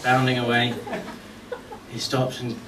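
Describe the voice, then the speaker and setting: A man delivering a eulogy full of anecdotes, telling stories in short phrases with deliberate pauses. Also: medium pitch, completely flat delivery. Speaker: friend giving a memorial tribute. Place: golf club function venue at a memorial service. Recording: echoey distant mic with background noise.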